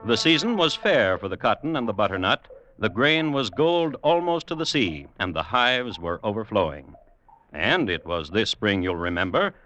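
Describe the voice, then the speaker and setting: An actor playing a wry storyteller in a classic radio drama, narrating theatrically with deliberate pauses. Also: male voice, on the low side, bright, forceful tone, poor audio. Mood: wry, expansive. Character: wry storyteller chronicling a village murder tale.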